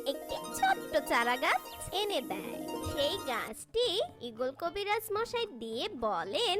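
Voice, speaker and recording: A woman's voice: high voice, wildly swooping pitch, a little slow, over loud music. A woman narrating a scripted children's story as its storyteller.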